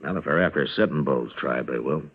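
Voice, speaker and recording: Casting: grave western lawman, deep and terse, old-time radio western with muffled sound. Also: brisk. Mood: curious, thoughtful. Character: stern, grave western U.S. marshal. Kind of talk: 1950s radio drama acting. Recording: dull vintage radio recording.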